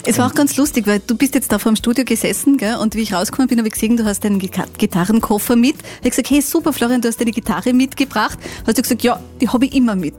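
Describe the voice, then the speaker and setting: A woman's voice: fairly high pitch, rapid-fire, hardly any pauses, a little mellow, soft background music. A woman with an Austrian accent, hosting a conversational interview in a radio studio. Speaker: radio host interviewing a musician.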